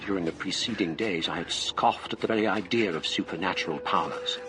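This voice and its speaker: Actor as a deep-voiced English gentleman storyteller. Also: background noise, lightly acted. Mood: mounting dread. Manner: measured, literary, formal. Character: educated English gentleman narrating his haunted ghost story. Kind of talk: dramatic radio ghost-story narration.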